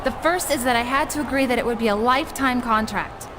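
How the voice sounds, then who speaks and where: A woman plays a determined young wrestling personality in a video game story. Her earnest voice is high, with clear noise behind it. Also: fast talker, natural voice. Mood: urgent.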